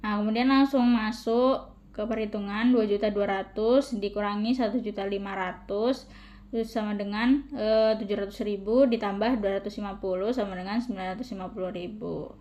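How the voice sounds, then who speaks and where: A young woman talks through a calculation as a student presenter. Her voice sits at a middle pitch, and she explains informally, one step after another. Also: fairly even intonation, moderate pace, a little mellow, dull audio. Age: young adult.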